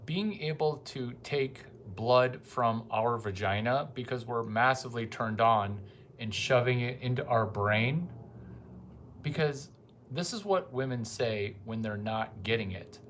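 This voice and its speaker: Male vlogger, mid-pitched, unhurried, rambling and crude, casual monologue. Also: a bit bright, a little hiss or hum. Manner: rambling and crude, with profane asides.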